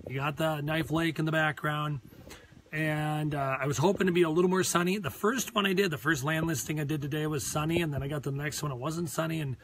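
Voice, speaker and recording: A hoarse man in his forties with a Minnesotan accent, a real estate agent giving a rambling, earnest pep talk on a live tour, filmed outdoors by a lake on a phone held at arm's length. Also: fairly high pitch, fairly even intonation, brisk, fairly bright tone, slight background noise, rough recording. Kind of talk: live property tour vlog. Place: outdoors on a lakeside deck.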